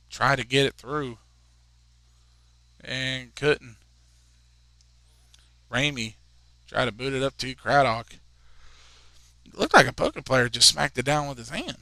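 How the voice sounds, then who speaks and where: A man in his twenties calling a high school soccer game, chatting in rambling asides between plays. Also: bright, forceful tone, no echo. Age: 20s. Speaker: play-by-play commentator.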